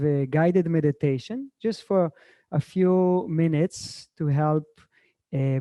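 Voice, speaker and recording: A man from Israel speaking as a swami, courteous and unhurried, in a gentle spiritual talk and meditation style. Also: a little high, dry recording, dull audio.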